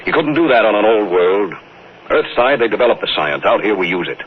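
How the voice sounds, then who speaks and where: Deep-voiced, brusque space tycoon. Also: male voice, very animated, brisk, slightly rough. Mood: confident. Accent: American. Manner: clipped, brusque, commanding. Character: brash, shrewd self-made space tycoon, commanding boss. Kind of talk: 1950s radio drama acting. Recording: muffled, noisy 1950s radio recording.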